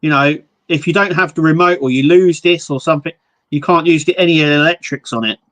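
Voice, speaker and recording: A man co-hosting a live stream, chatting in a relaxed, jokey way about model building. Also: fairly high pitch, fairly quick. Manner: relaxed, jokey, explains hobby builds with restarts. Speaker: livestream co-host, model builder. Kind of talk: casual chat.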